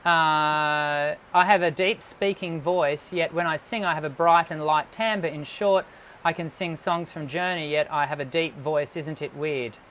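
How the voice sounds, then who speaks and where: A man, a voice teacher, hosts a live stream, reading viewer questions and answering in a measured way. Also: fairly high pitch, fairly even intonation, a bit bright, slight background noise, rough recording. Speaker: host and voice teacher. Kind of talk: live-stream show hosting.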